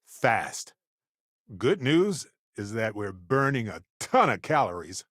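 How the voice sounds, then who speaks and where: An actor playing an earnest, wry, talkative space explorer companion in a video game, speaking in a conversational, reflective way. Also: male voice, fairly low pitch, big pitch swings, slow, fairly bright tone, dry recording, clean studio sound, full character voice.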